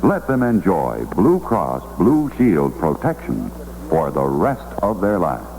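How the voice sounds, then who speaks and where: A low, mellow-voiced man narrates a scripted 1960s radio commercial. His pitch moves in a lively way. The old broadcast recording carries a faint hum. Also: slow pace, low-quality recording. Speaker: advertisement announcer.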